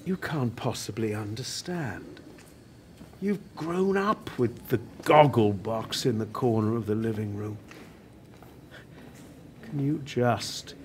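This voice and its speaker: An actor in a radio play playing a dying older working-class television writer, proud, prickly and reflective, with a wry, deliberate way of speaking. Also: male voice, medium pitch, a little hiss or hum. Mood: impassioned, reminiscing.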